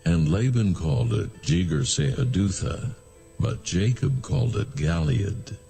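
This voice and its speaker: A man narrating scripture from a script in a measured, solemn manner. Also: medium pitch, big pitch swings, gravelly, mellow, soft background music.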